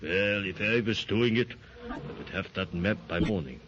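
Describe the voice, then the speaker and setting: A sneering German heavy, lowish and swinging in pitch, hissy lo-fi 1930s radio. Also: male voice, moderate pace, fairly bright tone. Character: brutish German man with a whip. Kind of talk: 1930s radio drama acting. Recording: dull lo-fi 1930s radio recording with faint hiss.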